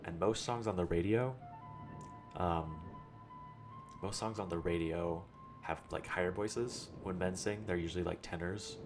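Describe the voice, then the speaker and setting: A young man with a low voice, chatting casually and thinking aloud while streaming a game. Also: measured pace, music in the background. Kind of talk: casual let's-play chat.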